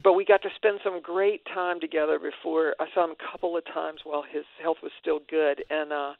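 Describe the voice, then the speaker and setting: A middle-aged woman from West Virginia, a guest singer speaking relaxed and reflective over a phone line. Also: low voice, a little fast, vocal fry, dry recording. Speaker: guest singer on a radio show. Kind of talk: casual radio interview.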